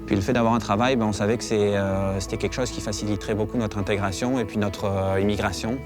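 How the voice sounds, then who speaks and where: A man's voice: fairly low pitch, fairly even intonation, fast talker. A man speaking casually about his own experience in a testimonial interview. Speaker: immigrant professional. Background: music bed.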